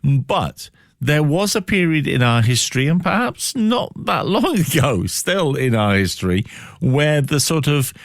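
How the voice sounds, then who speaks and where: A man hosting a thoughtful radio interview, setting out questions in measured, reflective phrases. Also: on the high side, sing-song, vocal fry, dry recording, studio quality.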